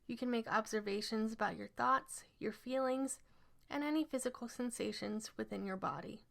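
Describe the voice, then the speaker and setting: A woman leading a scripted guided relaxation exercise as an instructor, speaking in measured, instructional phrases. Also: a little high, gravelly, fairly bright tone.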